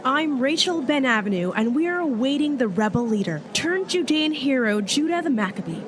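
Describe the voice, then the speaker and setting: A woman playing a composed radio news correspondent with measured delivery in a reenacted broadcast. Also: high-pitched, expressive. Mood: measured anticipation. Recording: loud crowd and noise behind.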